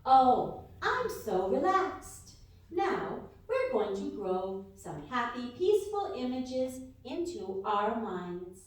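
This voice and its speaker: A woman's voice: on the high side, sing-song, slow pace. A woman playing a blissful, soothing meditation host in a spoof radio sketch. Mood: serene, blissful. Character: serene, blissful female guided-meditation host. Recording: echoey hall stage, distant mic.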